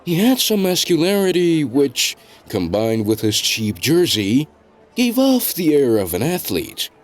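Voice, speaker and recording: A man reading scripted story narration in a measured, deliberate storytelling delivery. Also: a little high, big pitch swings, faint music behind. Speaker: narrator of a web novel fandub.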